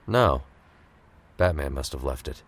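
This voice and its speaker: An actor playing a steady, principled police captain in a comic-book audio drama, measured, firm and dry. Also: male voice, rumbling low, full character voice. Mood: matter-of-fact.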